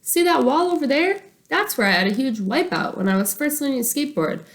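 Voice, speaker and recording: A woman's voice: high-pitched, expressive, brisk, voice pushed up. Earnest schoolboy, voiced by a woman, dramatic and caring, for a children's storybook. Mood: excited. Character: earnest, imaginative schoolboy, caring but easily exasperated.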